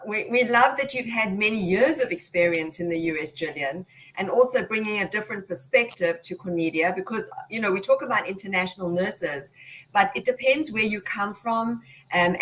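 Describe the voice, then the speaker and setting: A woman's voice: medium pitch, normal speed, a bit bright, poor audio. A woman hosts a panel talk and introduces each guest in turn. She speaks in an upbeat, friendly manner with frequent small fillers. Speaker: talk show host. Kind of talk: moderating a panel.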